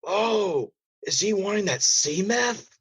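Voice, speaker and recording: A man's voice: high-pitched, expressive, slow, fairly bright tone, husky, voice pushed up, full character voice. A man playing a paranoid, chummy, laid-back stoner surfer with a drawling, conspiratorial manner in improvised comedy. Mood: amazed, dazed. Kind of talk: improvised comedy role-play.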